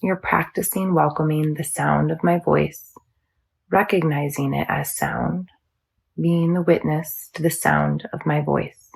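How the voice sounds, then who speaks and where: A woman teaching yoga nidra, guiding a meditation in a soothing, measured, instructional manner. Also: low voice, lively intonation, vocal fry, breathy edge. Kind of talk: guided meditation.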